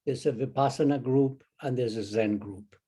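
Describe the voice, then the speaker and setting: An older man from Chile with a Chilean Spanish accent, a Zen teacher talking as an interview guest, halting with many restarts and fillers. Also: mid-range voice, warm tone, slightly rough. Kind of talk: casual interview conversation.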